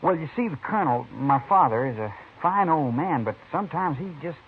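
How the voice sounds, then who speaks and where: A man's voice: on the high side, expressive, brisk, breathy edge. A man playing a polite, earnest young cattleman's son with an American accent, in 1950s radio drama style. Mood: earnest, worried. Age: young adult. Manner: respectful, earnest. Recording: muffled, band-limited 1950s radio recording.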